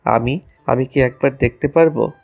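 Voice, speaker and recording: A man's voice: medium pitch, moderate pace, gravelly, darkish tone, soft background music. A man playing a devoted young husband in a romantic audio story, tender, earnest and emotional. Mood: anxious pleading. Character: anxious, devoted young husband and new father.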